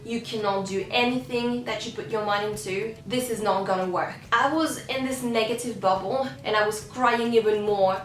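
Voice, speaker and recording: A woman's voice: on the high side, fairly even intonation, normal speed, hardly any pauses. Young woman telling a personal story, roomy sound. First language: not English. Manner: earnest, open and confessional. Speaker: singer and vlogger. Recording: roomy sound, slightly distant from the mic.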